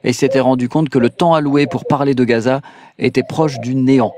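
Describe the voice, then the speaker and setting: A man's voice: mid-range voice, fairly even intonation, fairly quick, a crowd in the background. French man hosting a call-in show. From France. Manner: hesitant, many "euh" fillers, sarcastic asides. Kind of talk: casual live call-in chat.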